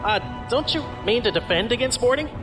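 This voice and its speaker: An actor playing a brash, excitable and informal second-in-command in a science fiction audio drama. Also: male voice, very high-pitched, brisk, over music. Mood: alarmed. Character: brash, familiar male starship officer, teasing sidekick.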